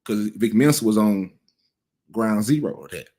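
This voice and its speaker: Man in his thirties, lowish voice, casual commentary, blunt and profane, restarts a lot. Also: animated, moderate pace, mellow. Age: 30s.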